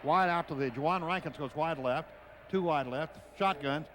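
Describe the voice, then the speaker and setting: A man's voice: on the high side, moderate pace. An American man calling live football play-by-play on the radio in short, clipped phrases. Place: outdoor football stadium broadcast. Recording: noisy stadium radio broadcast.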